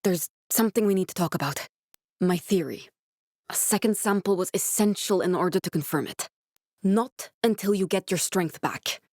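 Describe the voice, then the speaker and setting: A woman voicing an earnest, guarded young female scientist survivor in a video game, speaking in a measured, serious way. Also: fairly low pitch, a little mellow, dry recording, clean studio sound, natural voice. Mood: serious, focused. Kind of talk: dramatic video game dialogue.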